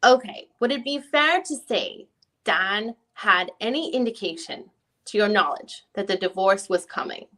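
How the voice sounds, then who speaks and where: A woman reading the part of a female lawyer who questions a witness in a deposition, in halting, rephrased questions. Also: mid-range voice, expressive, sharp tone. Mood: probing. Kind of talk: dramatic reading of a legal deposition transcript.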